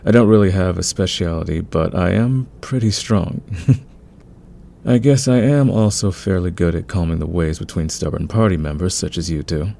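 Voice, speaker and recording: Man acting as deep, gravelly, easygoing veteran thief. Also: moderate pace, warm tone, slight background noise. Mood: friendly, easygoing. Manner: soothing, patient, chuckling, conversational. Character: calm, friendly veteran male thief smoothing over team tensions. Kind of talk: ASMR audio roleplay acting.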